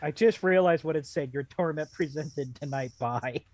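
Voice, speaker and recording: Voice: medium pitch, moderate pace, a little mellow. A book club co-host chatting casually and making jokes. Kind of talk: casual joking chat.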